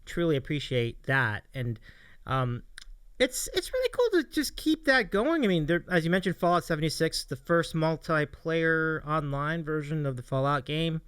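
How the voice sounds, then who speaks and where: A man's voice: fairly high pitch, sing-song, normal speed, fairly bright tone, clear, resonant tone, no room sound. A man hosting a livestream interview show, chatting casually in an appreciative, encouraging way. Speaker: host of a streaming interview show.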